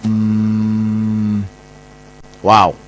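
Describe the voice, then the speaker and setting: A man with a Ghanaian accent interviewing on radio, halting and repeating words as he forms questions. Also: lowish voice, completely flat delivery, no room sound.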